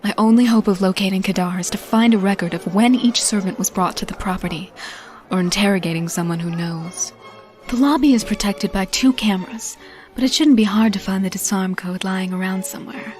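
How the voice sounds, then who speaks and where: A woman playing a determined female thief, narrating a game cutscene in a measured, confiding, resolute way. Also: medium pitch, darkish tone, close to an everyday voice. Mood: determined, planning. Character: determined female thief narrating her stealth rescue mission. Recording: music under the voice. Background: background music.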